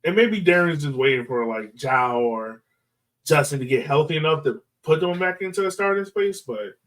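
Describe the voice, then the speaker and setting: A man's voice: fairly high pitch, animated, normal speed, fairly bright tone, far from the mic. A man hosting a soccer podcast, talking through analysis in a casual, conversational way full of filler phrases. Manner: conversational, peppered with "like" and "you know". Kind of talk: casual sports analysis chat.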